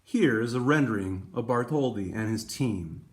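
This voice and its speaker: A man hosting a read-aloud for schoolchildren, speaking in a deliberate storytelling way to young listeners. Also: medium pitch, lively intonation. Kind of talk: expressive children's picture book read-aloud.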